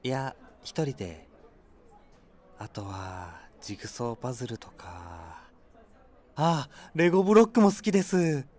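Slow-talking, clueless awkward man. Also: mid-range voice, very animated, darkish tone. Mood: vague, awkward. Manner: hesitant, noncommittal, trailing off. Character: vague, clueless, socially awkward man on a date. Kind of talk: comedy audio drama dialogue.

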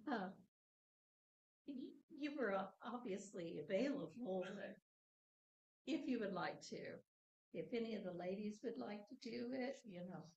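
A woman's voice: medium pitch, lively intonation, measured pace, long pauses, darkish tone, muffled recording. A woman giving chatty, casual announcements to a class before a talk. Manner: chatty, laughs easily, loose phrasing. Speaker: training coordinator introducing the speaker. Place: classroom, indoors. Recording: echoey classroom.

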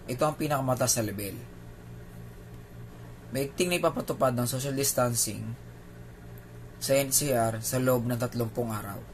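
A man with a Filipino accent presents a scripted news explainer as a video host. His voice sits at an average pitch for a man. The recording was made indoors, with faint noise behind the speech. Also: normal speed, pauses often.

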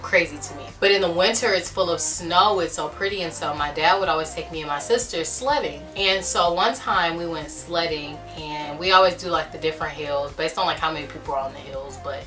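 A young woman with a deep, slightly raspy voice, chatting casually as she answers viewer questions in her bedroom. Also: a little fast, hardly any pauses, far from the mic, music in the background. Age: young adult. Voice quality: deep and a bit raspy at times. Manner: chatty, animated storytelling with lots of fillers. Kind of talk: casual chat. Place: small bedroom, indoors. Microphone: camera at a distance.

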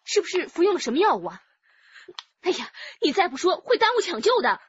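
Crime radio drama: earnest, coaxing young policewoman, high pitch, slightly dull sound. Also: big pitch swings, brisk, no room sound. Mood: pressing. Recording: dull lo-fi audio with music behind.

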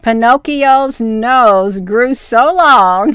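Slow-paced, higher-voiced woman reading stories. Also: fairly bright tone, clear, resonant tone, dry recording. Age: middle-aged. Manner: folksy, easily amused. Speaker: storyteller, host. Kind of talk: reading a children's story aloud, with casual asides. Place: small room indoors. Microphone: large desk microphone up close.

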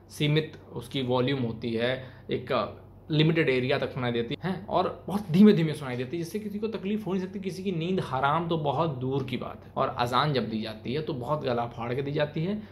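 A young man with an Indian accent giving emphatic, colloquial opinion commentary to camera in a small room. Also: fairly high pitch. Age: young adult. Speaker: video commentator, channel host. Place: small plain room indoors. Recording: clearly noisy room recording.

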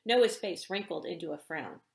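Expressive woman reading aloud, roomy sound. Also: on the low side, moderate pace, vocal fry. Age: middle-aged. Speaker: author reading from own novel. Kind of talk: expressive read-aloud narration of a romance novel. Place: indoor room with bookshelves. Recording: roomy indoor echo.

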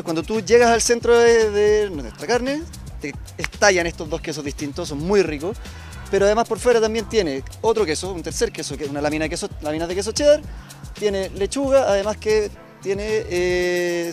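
A man at an outdoor food fair stall speaks into a handheld mic. His voice sits high and he sounds eager as he runs through what goes in his burger, with faint music behind him. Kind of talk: interview answer.

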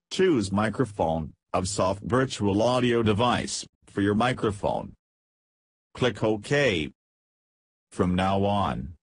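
A man reads a scripted step-by-step software tutorial in a measured, instructional manner. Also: on the low side, no echo.

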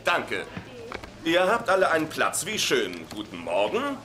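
A man's voice: fairly high pitch, huge pitch swings, measured pace. A man acting in a German sitcom dub as an eager, insecure husband turned substitute teacher, hesitant and awkwardly chummy. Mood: nervous, eager.

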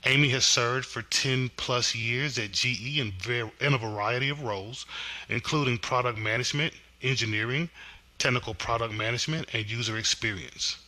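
A man with a very bright, forceful tone opens a webinar. He reads a formal introduction in a measured way, speaking at an everyday pitch. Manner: measured, formal; stumbles on a product name. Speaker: webinar host. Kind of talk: reading a scripted introduction.

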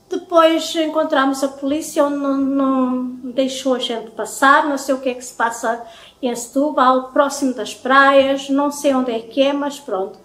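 High-voiced Portuguese woman vlogger, echoey room. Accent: European Portuguese. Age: middle-aged. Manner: chatty, affectionate address to viewers. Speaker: home vlogger. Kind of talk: casual chat to viewers. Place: home kitchen, indoors. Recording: echoey domestic kitchen.

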